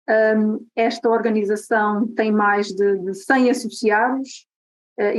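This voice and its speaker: A woman's voice: mid-range voice, rough recording. Portuguese woman presenting on a video call. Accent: European Portuguese. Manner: friendly, explanatory, with frequent hesitations. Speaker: guest speaker. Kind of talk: online conference presentation.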